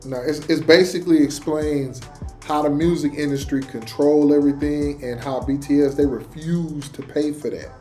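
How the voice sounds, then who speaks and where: A man's voice: on the high side, steady tone, normal speed, darkish tone, faint music behind. A man chatting casually in a slangy, conversational way as host of a reaction show.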